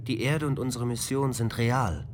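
An actor playing a calm male onboard computer of a spaceship, matter-of-fact and logical in a radio drama. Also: medium pitch, normal speed, noisy background, close to an everyday voice. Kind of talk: German radio drama acting.

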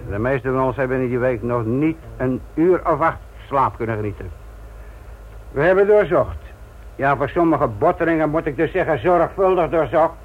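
An actor playing a gruff, sardonic senior police detective lecturing trainees in a radio play. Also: male voice, medium pitch, expressive, normal speed. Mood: sarcastic, stern. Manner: sarcastic, authoritative, lecturing. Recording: muffled, noisy old radio play recording.

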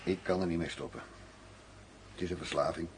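Casting: wry middle-aged undercover cop, very low male voice, measured, world-weary, radio drama with slight background noise. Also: fairly even intonation. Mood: weary, reflective. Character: weary, wry greying German undercover police commissioner. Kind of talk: Dutch radio drama acting. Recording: noisy radio play recording.

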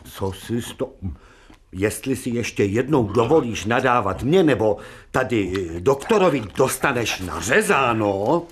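A stern old professor. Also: male voice, medium pitch, animated, breathy edge. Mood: scolding. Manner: authoritative, irritable. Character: stern older professor of surgery.

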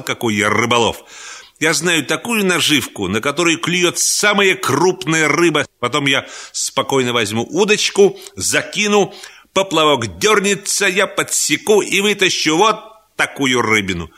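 A man's voice: mid-range voice, sharp tone. A man as a cocky, boastful schoolboy. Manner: bragging, self-important, eager. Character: boastful scheming schoolboy, cocky young angler.

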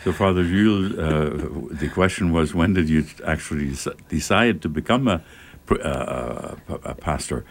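A man co-hosting a radio show, explaining and translating for listeners in a halting way with many uhs. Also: extremely low pitch, expressive.

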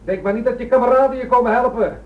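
Urgent high-voiced policeman, distant. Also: steady tone, quick pace. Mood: urgent warning. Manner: forceful, warning. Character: urgent policeman negotiating with an armed criminal. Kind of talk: Dutch radio drama acting. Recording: muffled, distant old radio recording.

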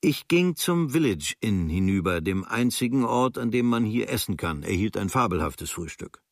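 An actor reading as a young German writer on his travels, observant and dryly critical, in measured, sober, clipped phrases. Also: lowish voice, animated, dry recording, clean studio sound. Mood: detached, observant. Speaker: reader of a writer's diary. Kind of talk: literary reading of a travel diary.

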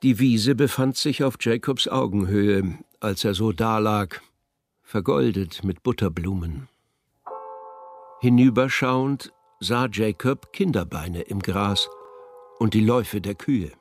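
A man's voice: lowish voice, slow pace. A man narrating a literary radio drama as scripted prose.

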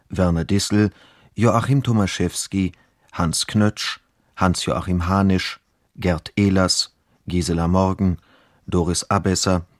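A man working as a radio announcer, reading scripted credits in a measured, formal manner on a close studio microphone. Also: low voice, no room sound. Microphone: close, dry studio microphone.

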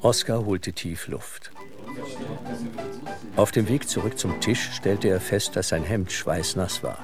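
A man's voice: deep voice, slow. A man reading scripted narration for an audio drama. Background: music.

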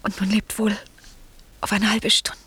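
An actress playing an innocent, sheltered young maiden in classical radio drama, soft and earnest, often sinking to a breathy near-whisper. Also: female voice, medium pitch, flat delivery, a little slow, slight background noise, lightly acted. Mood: tender, yearning.

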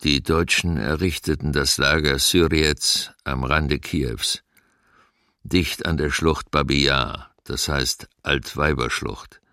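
An actor playing a grave camp survivor in a documentary radio drama, recalling the war in a measured, sober way. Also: male voice, rumbling low, sharp tone, dry recording, clean studio sound, exaggerated voice.

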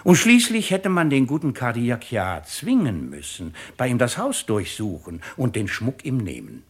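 An actor playing a shrewd, pompous, mocking presiding judge in a 1950s radio play. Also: male voice, mid-range voice, sing-song, moderate pace, husky. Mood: animated, insinuating. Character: shrewd, sardonic male presiding judge of a French tribunal.

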